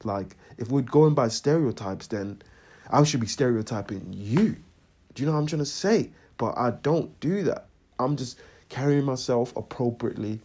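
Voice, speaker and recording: A young man tells a personal story in an animated, slang-heavy rant. His voice has a mellow, dark tone. He keeps checking in with the listener as he talks. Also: mid-range voice, normal speed. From Congo. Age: young adult. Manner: slangy, animated, repeats "you know what I'm trying to say". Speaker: vlogger.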